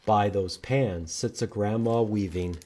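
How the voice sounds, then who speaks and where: A man reading aloud to children at a storytime, expressive and inviting them to join in. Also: lowish voice, a little slow. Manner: expressive, animated, asks listeners to join in. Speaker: children's storytime reader at a library. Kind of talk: read-aloud storytime with singing and prompts to children.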